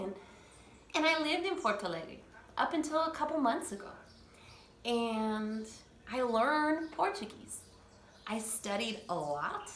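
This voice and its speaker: A woman's voice: medium pitch, a bit bright, a little hiss or hum. A woman in her thirties, a language coach, speaking her mind earnestly in a personal vlog and restarting as she goes. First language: English. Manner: earnest, talks through restarts. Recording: echoey room, faint ambience.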